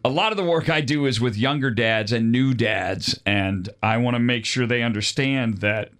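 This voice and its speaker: A man's voice: mid-range voice, normal speed, a bit bright. Middle-aged man, casual podcast host. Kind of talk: casual conversational interview.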